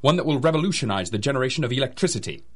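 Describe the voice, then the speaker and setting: Husky, irritable lab assistant. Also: male voice, medium pitch, animated, racing, fairly bright tone. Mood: self-important. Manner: brusque, indignant. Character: irritable, haughty male laboratory assistant, defensive and curt. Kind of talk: 1930s-style radio drama acting.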